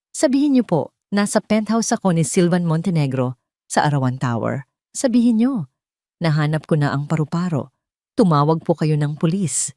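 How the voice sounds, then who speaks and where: A woman's voice: lowish voice, a little mellow, no echo, clean studio sound. A woman narrator voicing a desperate young waitress who pleads urgently and politely for help in an audio story.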